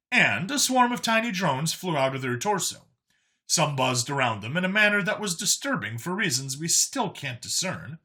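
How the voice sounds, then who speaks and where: A man's voice: a little high, pushed and bright. A man reading a scripted science fiction story as a dry, wry briefing, in an expository narrator's delivery. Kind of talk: scripted dramatic story narration as an alien official giving a briefing.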